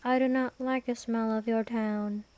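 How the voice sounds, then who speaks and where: A woman playing an ancient vampire queen in an ASMR roleplay, aloof and menacing yet protective, with a measured, formal, imperious delivery. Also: on the high side, steady tone, a little mellow, clear, resonant tone, no room sound, natural voice. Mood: guarded. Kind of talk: ASMR roleplay, scripted fantasy monologue.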